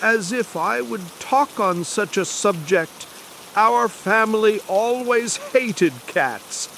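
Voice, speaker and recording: A man voicing a touchy, indignant talking mouse in a storybook, shrill, passionate and haughty. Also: expressive, slow, fairly bright tone, slight background noise, exaggerated voice. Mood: indignant, offended.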